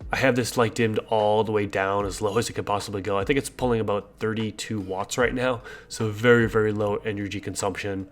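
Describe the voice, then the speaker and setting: A man with a congested voice, chatting casually and upbeat as he hosts a gardening video and asks viewers for feedback. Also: fairly low pitch. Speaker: gardening channel host.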